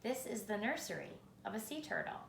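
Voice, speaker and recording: A woman reading a children's picture book aloud in a measured, expressive storytelling manner, with pauses for effect. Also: medium pitch, creaky. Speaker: reader. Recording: roomy echo, faint background noise.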